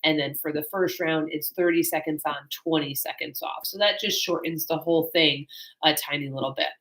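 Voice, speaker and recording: A woman's voice: low voice, steady tone, normal speed, a bit bright. A woman fitness instructor, slightly congested, giving a friendly, rambling introduction to a home exercise class with many fillers.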